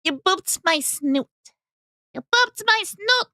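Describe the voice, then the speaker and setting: A woman streamer chatting casually with her viewers, her voice hoarse, bubbly and talkative. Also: very high voice, animated, slow, pushed and bright, dry recording, clean studio sound. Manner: bubbly, talks to chat, hums tunes. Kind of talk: casual livestream reaction chat.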